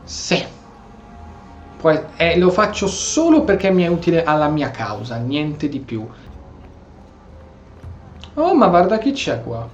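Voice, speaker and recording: A man's voice: on the high side, very animated, a little slow, pauses often. Italian man commentating in a roomy space. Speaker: gameplay streamer. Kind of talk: casual game commentary, reading subtitles aloud. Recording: roomy, dull mic over game music. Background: game audio and music.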